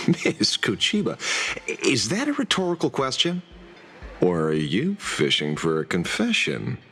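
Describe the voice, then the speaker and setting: A sardonic video game detective with a theatrical, teasing delivery. His pitch swings widely as he needles and broods, with faint music underneath. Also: wildly swooping pitch, a little slow, a bit bright, breathy edge, exaggerated voice. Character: sardonic male detective with a vigilante streak. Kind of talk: video game story dialogue.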